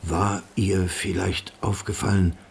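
An actor narrating a sombre crime story in a scripted literary style for a radio play. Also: male voice, sits low, level tone, slow pace, creaky, heavily acted. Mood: tense, sombre.

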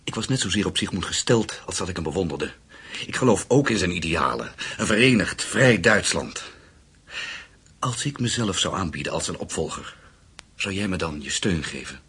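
A man's voice: deep voice, expressive, moderate pace, a bit bright, breathy edge, heavily acted. An actor in a Dutch radio play voicing an earnest, courtly, ambitious businessman with political aims. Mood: persuasive, solemn.

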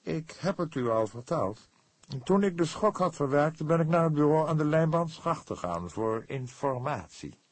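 A man's voice: fairly high pitch, lively intonation, normal speed, no room sound, pitched above the performer's own voice. A man voicing a pompous, precise, easily indignant book publisher in an audiobook, speaking in an emphatic, formal, affected way. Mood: stiff, subdued.

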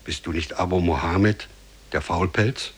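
Haughty nobleman, lowish husky voice, mocking and greedy, vintage radio play. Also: unhurried. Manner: haughty, condescending. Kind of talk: 1950s German radio play acting.

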